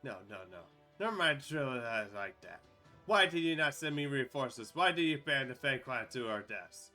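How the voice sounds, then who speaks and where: A furious warrior lord of a beast clan roars at the queen he served, his voice loud and strained, as a man acts him out. The tone is bright and forceful, straight out of a fan-dubbed fantasy cartoon. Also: medium pitch, big pitch swings, moderate pace, faint music behind. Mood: pleading. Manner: shouting, raging. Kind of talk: fan dub of an animated fantasy scene.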